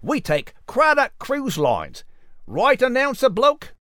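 Breezy Australian kangaroo bloke, lively pitch. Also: male voice, takes it slowly, no room sound, clean studio sound, exaggerated voice. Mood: breezy, matey. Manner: colloquial, matey. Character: breezy, matey male kangaroo from down under. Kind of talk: comic audio drama banter.

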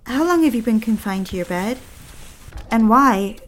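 Actress as prim young woman, fairly high voice, earnest, coaxing, solicitous, lonely, audio drama. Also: expressive, normal speed, close to an everyday voice.

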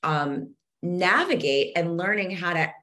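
A woman's voice: on the low side, expressive, measured pace, pushed and bright. A woman from Zambia, a podcast guest talking with passion and many restarts, verbose and earnest. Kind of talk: conversational interview.

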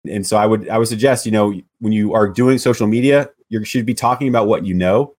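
A man with a loud voice speaking as a podcast guest, relaxed and hesitant, full of "you know"s. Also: fairly low pitch, quick pace. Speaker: guest, loan officer. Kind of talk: casual interview chat.

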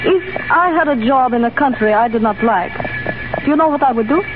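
A woman's voice: high-pitched, lively intonation, brisk. An actress playing an earnest, guarded Russian woman torn between love and duty in 1930s radio drama, speaking formally and carefully. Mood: cool, reserved. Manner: formal, careful diction without contractions. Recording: tinny 1930s radio broadcast with music.

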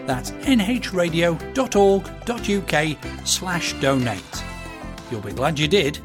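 A man's voice: on the high side, lively intonation, a little slow. Man reading an appeal over music. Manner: earnest, clearly read. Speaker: station announcer. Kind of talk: scripted charity appeal. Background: music bed.

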